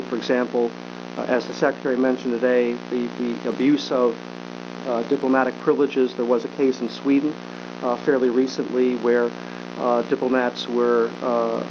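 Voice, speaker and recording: A man speaking unscripted as a government official at a briefing, measured and halting, with frequent filled pauses. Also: medium pitch. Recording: dull broadcast audio with clear hum.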